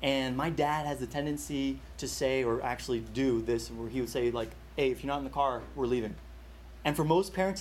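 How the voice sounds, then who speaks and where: A young man with a slightly high voice leads a Bible study in an easygoing, chatty way. He stumbles through restarts and fillers as he tells a family story and walks through a passage, with a faint hum of ambience behind him. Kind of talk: casual sermon storytelling.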